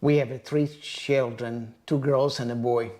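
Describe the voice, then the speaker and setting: Soft-spoken older man. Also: mid-range voice, steady tone. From Sicily. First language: Sicilian. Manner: brief, quiet answers, halting. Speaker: co-host. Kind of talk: casual Q&A chat.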